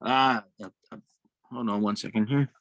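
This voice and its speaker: Bright-voiced male gamer, close dry sound. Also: mid-range voice, fairly even intonation, dry recording. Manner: halting, self-correcting. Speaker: player in tabletop role-playing game. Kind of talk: casual game talk describing character's actions.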